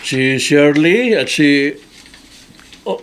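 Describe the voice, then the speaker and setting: Filipino male boss, kinda high voice, brisk n dismissive, radio drama. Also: lively intonation, fairly bright tone, heavily acted. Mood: businesslike.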